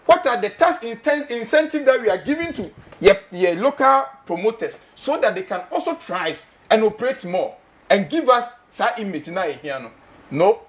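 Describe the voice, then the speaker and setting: A Ghanaian man with a high, lively voice hosts a studio talk show. He argues passionately in Ghanaian-accented English, hammering home his questions, and slips easily into Twi. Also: normal speed. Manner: passionate, emphatic, repeats questions, mixes in Twi. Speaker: host.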